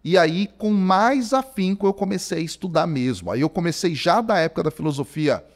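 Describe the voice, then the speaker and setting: High-voiced Brazilian man, chatty storyteller. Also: a little fast. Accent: Brazilian Portuguese. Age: 40s. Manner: animated storyteller, many fillers like "cara". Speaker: guest, theology professor. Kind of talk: conversational podcast interview. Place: podcast studio. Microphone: studio microphone.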